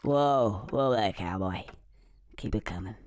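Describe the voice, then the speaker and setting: A man's voice: on the low side, unhurried, slight background noise, close to an everyday voice. An actor voicing a cheeky young male squirrel in a video game, talking in a dry, sardonic way. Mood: glum. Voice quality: wry, sardonic. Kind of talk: video game cutscene acting. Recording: game audio with loud score behind.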